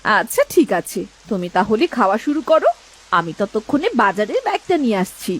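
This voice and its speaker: A woman's voice: medium pitch, sing-song, a little fast, slightly rough. A woman voicing a nagging, bossy village housewife in a cartoon, commanding and practical. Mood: brisk, instructing. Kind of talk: Bengali cartoon dialogue.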